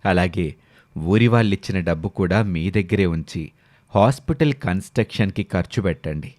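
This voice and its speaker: Man voicing a grave, low-voiced village gentleman. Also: normal speed, dry recording, natural voice. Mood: earnest, solemn. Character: grave, trusting village gentleman entrusting a big responsibility.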